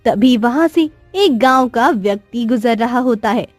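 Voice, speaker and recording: A woman narrates a children's story in a high voice. The scripted delivery is recorded very dry, with faint music behind it. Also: expressive, a little slow, no echo.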